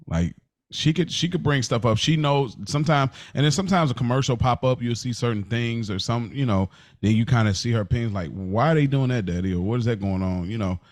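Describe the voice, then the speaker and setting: A man's voice: lowish voice, a little mellow. A man co-hosting a podcast in casual, slangy chat, often acting out quotes to explain himself. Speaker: podcast co-host.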